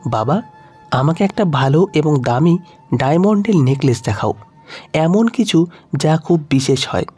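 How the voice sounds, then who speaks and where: A man's voice: on the high side, lively intonation, unhurried, a little mellow, husky, soft background music. A man voicing a humble, pleading old mother in a story, meek and polite.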